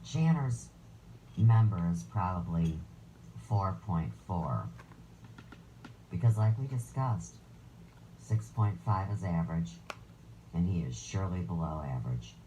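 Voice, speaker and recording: A woman with a notably deep, low-pitched voice and a dark, mellow tone runs a live stream. She reads viewer comments aloud and answers them with sarcastic, crude jabs, in a casual chatty way. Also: big pitch swings, slow, long pauses, a little hiss or hum, low-quality recording.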